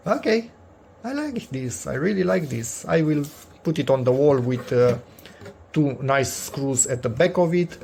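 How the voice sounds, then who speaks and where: Gravelly-voiced man in a casual DIY vlog. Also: mid-range voice, expressive, unhurried, darkish tone, a little hiss or hum. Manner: thinks aloud with emphatic repetition. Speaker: DIY video host. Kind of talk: casual unscripted workshop vlog commentary.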